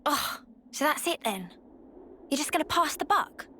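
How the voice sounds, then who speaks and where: A woman's voice: high voice, animated, normal speed, sharp tone, a little hiss or hum. An actress playing a cheeky, brash young woman in a video game, blunt and teasing. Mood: grumbling. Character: cheeky, brash, nosy young female party member. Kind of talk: video game cutscene acting.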